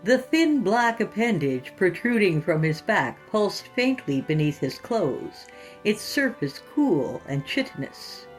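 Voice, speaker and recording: A woman's voice: mid-range voice, big pitch swings, slow pace, faint music behind. A woman hosting and narrating scripted horror fiction in a theatrical, sinister storytelling manner.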